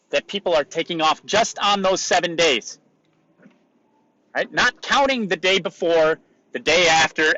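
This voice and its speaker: A man hosting a solo motivational podcast, raspy today, talking in an emphatic, repetitive coaching way. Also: fairly high pitch, sharp tone, no echo, highs cut off. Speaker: podcast host.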